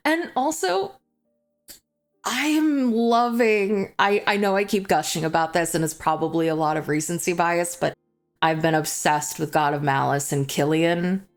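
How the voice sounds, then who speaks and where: A woman's voice: lowish voice, a little slow. A young adult woman chatting as a book vlogger, gushing and confessional in manner. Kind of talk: chatty book review to camera. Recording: music playing behind the voice. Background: background music.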